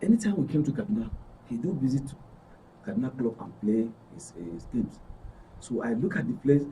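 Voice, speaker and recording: A man's voice: mid-range voice, animated, a little fast, mellow. A man with a Nigerian accent giving a conversational personal account, repeating and rephrasing for emphasis. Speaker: studio guest. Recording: dull distant mic, faint background noise.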